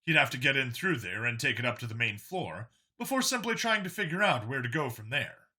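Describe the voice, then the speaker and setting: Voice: medium pitch, big pitch swings, fairly quick, pushed and bright, husky. A narrator reading a scripted science fiction story as an audiobook.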